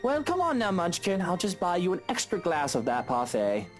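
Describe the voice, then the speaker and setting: A man's voice: high-pitched, huge pitch swings, normal speed, faint music behind, pitched above the performer's own voice. A man voicing a smug, flirtatious, teasing man in a visual novel, drawling and mocking. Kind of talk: character voice read from a visual novel.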